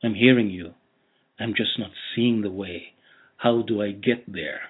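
Male interview host, measured and reflective, murmurs encouragement; recorded over a tinny phone-like line. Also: on the low side, breathy edge. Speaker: podcast host. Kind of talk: interview, scripted intro then chat.

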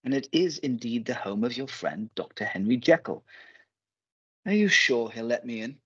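Guy playing uneasy polite gentleman in radio drama, raspy-ish, dry dull lo-fi audio. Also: mid-range voice, expressive, moderate pace, no room sound. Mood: concerned, uneasy. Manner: formal, hesitant. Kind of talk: radio play acting.

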